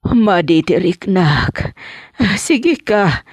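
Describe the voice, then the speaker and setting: Woman playing a frail old Ilocano mother, low and slow. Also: big pitch swings, no echo, exaggerated voice. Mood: weak, fretful. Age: older. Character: ailing elderly mother, weak, fretful and dramatic. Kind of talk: radio comedy drama acting.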